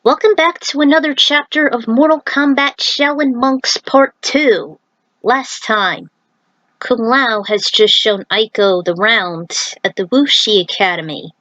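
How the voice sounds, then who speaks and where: A woman's voice: on the high side, unhurried, sharp tone, no echo, highs cut off. A woman narrating a story aloud in an informal way, breaking off for chatty asides and recaps. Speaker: narrator reading own fan fiction. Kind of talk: story reading with casual asides.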